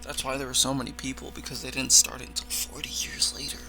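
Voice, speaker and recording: A man's voice: medium pitch, level tone, fast, vocal fry, music in the background. A man doing casual reaction commentary and reading text aloud, often stumbling over words and correcting himself. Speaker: reaction video host.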